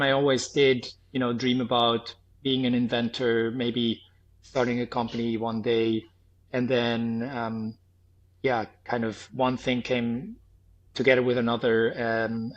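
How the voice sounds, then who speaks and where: A man in his thirties from Switzerland, speaking with a Swiss accent that carries a faint trace of New Zealand, answering interview questions thoughtfully, with many restarts and pauses. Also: medium pitch, low-quality recording. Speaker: podcast guest, former health tech startup founder.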